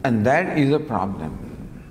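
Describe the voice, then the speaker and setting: A man giving a spiritual discourse on scripture, teaching in a lively, gently humorous way with many rhetorical questions, recorded in a lecture hall. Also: mid-range voice, takes it slowly. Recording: long hall reverb, faint background noise.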